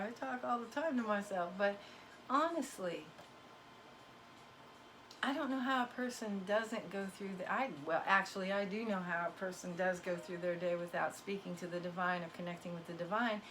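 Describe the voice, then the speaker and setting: A woman whose voice is wearing hoarse, with the odd cough, talks straight to her viewers as a spiritual card reader. She is emphatic and a little preachy, leaning on folksy turns of phrase. Her pitch sits in an everyday middle range. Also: fairly bright tone, a little hiss or hum, poor audio. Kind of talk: casual motivational talk to viewers.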